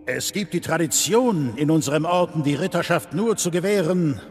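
An actor playing the solemn, grave leader of a knightly order in a game drama, speaking in ceremonial, measured oration. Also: male voice, on the high side, expressive, a little mellow, faint music behind, lightly acted. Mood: grave, reverent.

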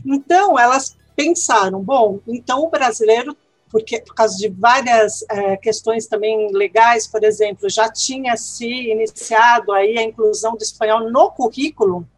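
A Brazilian woman speaking in an interview, explaining at length in an animated way and tagging sentences with questions. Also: fairly high pitch, normal speed, no echo. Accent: Brazilian Portuguese. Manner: animated, explanatory, frequent "né?" tags. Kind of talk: conversational interview.

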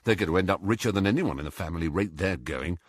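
A man's voice: low voice, expressive, quick pace, breathy edge, dry recording, studio quality. A man voicing a blunt, good-natured teenage boy in an audiobook, grumbling in a casual, offhand way.

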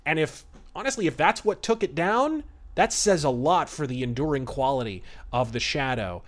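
A man's voice: fairly high pitch, lively intonation, moderate pace. A man hosting a podcast, giving casual, enthusiastic commentary in an emphatic, conversational way. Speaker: podcast host.

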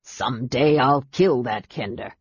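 A woman's voice: extremely low pitch, animated, measured pace, slightly rough, no room sound, highs cut off, voice pushed down, heavily acted. A woman voicing an irascible, stubborn old male dwarf in an audiobook fantasy story. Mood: grumpy. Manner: grumbling, stubborn.

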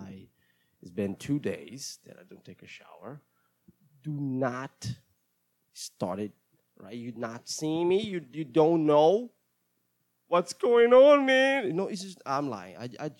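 A man's voice: mid-range voice, darkish tone. Solo host, Brazilian man, native Portuguese speaker, mid-range voice, casual and rambling, stop-start delivery with repeated words. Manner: halting, repeats words, self-deprecating. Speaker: host of a solo English-practice show.

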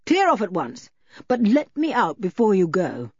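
Casting: gruff, blustering rustic man for a storybook, played by a woman; husky, swooping delivery, defensive temper. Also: mid-range voice, wildly swooping pitch, moderate pace, dry recording, highs cut off. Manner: rural turns of phrase, repeats tags for emphasis. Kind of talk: audiobook character voice.

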